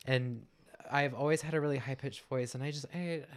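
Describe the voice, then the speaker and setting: A man's voice: mid-range voice, steady tone, a little fast. A young man hosting a podcast in casual chat, playful and teasing. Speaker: podcast host.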